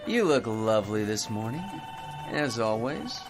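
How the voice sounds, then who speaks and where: Cocky american hustler in a radio play, real animated, big boasting dreamer, music behind. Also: male voice, fairly low pitch, a little slow. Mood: cocky wheedling. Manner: theatrical, cocky, self-talking hustler patter. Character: brash, boastful man, a scheming big-talking dreamer. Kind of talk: radio drama acting.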